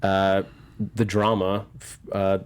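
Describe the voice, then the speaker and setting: Low-voiced male panel show host. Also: monotone, clean tone. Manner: halting, wordy, backtracking explanations. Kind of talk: casual panel discussion.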